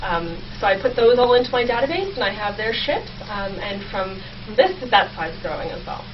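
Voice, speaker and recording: A woman's voice: medium pitch, lively intonation, fairly quick. A young woman explaining her research conversationally and enthusiastically as an interview guest. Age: young adult. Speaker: guest researcher, history PhD student. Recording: distant, dull, clearly noisy sound.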